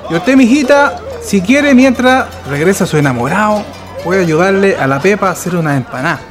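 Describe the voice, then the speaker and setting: A man's voice: high voice, lively intonation, moderate pace, over music. An actor with a Chilean accent playing a middle-aged, bossy and sly working-class father in a radio drama, speaking colloquially. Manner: colloquial, commanding, teasing.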